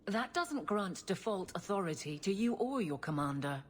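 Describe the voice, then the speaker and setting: An actress in a video game playing a stern, proud guard captain who speaks bluntly and firmly. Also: female voice, mid-range voice, sing-song, moderate pace, a little hiss or hum, natural voice. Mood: indignant. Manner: blunt, firm.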